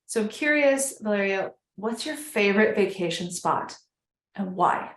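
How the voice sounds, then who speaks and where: A woman's voice: mid-range voice, expressive, a little slow, roomy sound. A woman hosting a podcast, chatting casually as she interviews a guest. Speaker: podcast host.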